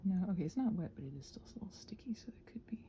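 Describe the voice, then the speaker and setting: A soft-spoken woman chatting casually in an ASMR craft ramble, often slipping into whispers as she hesitantly thinks aloud. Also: lowish voice, sing-song, rapid-fire. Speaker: host of a craft ramble channel. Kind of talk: casual rambling chat while painting, ASMR.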